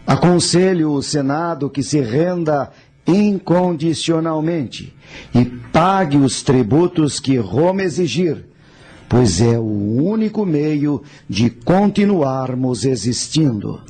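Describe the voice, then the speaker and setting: A defeated ancient general in a radio drama. He speaks very slowly in a fairly high male voice, solemn and resigned, declaiming to a senate. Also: animated, warm tone, husky, exaggerated voice. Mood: grave, resigned.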